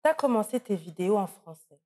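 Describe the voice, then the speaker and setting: A woman's voice: medium pitch, very animated, a little fast, a little mellow. A woman in a mid-range voice hosts an interview and leads the conversation. She asks her questions playfully and teases her guest. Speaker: interviewer, host.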